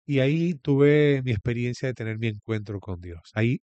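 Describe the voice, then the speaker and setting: A man's voice: mid-range voice, normal speed, darkish tone, no room sound, lo-fi sound. A man with a Venezuelan accent giving a reflective religious talk as a guest, repeating key phrases for emphasis.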